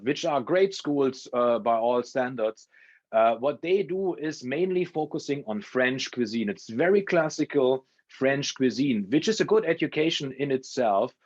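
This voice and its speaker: A man speaking as a culinary school representative, explaining information in a measured, careful way during an interview-style talk. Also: medium pitch, lo-fi sound. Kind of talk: informational interview answers at an education fair talk.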